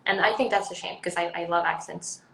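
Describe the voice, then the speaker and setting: A woman's voice: lowish voice, steady tone, fairly quick, gravelly, fairly bright tone, roomy sound, a little hiss or hum, rough recording. A young adult woman from Sweden with a Swedish accent, chatting casually as an interview guest, rambling with many ums and likes. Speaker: actress guest.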